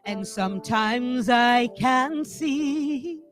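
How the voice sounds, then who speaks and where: High-voiced woman singing slowly over music. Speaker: singer. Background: musical accompaniment.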